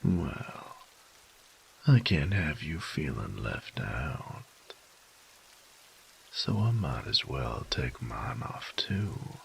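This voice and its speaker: An extremely deep-voiced, flirtatious male lover, acted by a man speaks in an intimate ASMR roleplay. His delivery is slow and teasing, with a heavy gravelly fry and a folksy, doting manner. It turns breathy and whispery in places. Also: sing-song, a little hiss or hum, exaggerated voice. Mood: teasing, tender.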